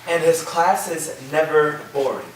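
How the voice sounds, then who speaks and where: A man's voice: on the high side, a little slow, lightly acted. A man playing a laid-back, agreeable college student who talks casually and cheerfully in a staged reading. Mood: relaxed, upbeat. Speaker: actor in a staged play reading. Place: live staged reading in a reverberant room. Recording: echoey room, distant mic, faint noise.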